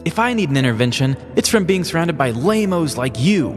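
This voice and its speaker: A man voicing a brash, defiant young party girl, loudly self-righteous, in a comedic storytelling podcast. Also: on the high side, sing-song, brisk, in a large hall, music in the background, pitched above the performer's own voice. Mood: indignant, defiant.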